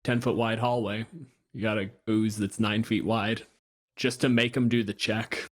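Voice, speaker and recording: A man's voice: fairly low pitch, level tone, normal speed. A man co-hosting a podcast with casual comedic chat, speaking in a blunt, wry, sweary way. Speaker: podcast co-host.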